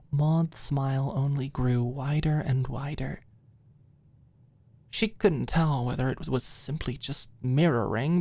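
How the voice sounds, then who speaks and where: A woman's voice: very deep voice, dark tone, no echo. A woman reading scripted story narration for an ASMR-style audiobook, close to the microphone.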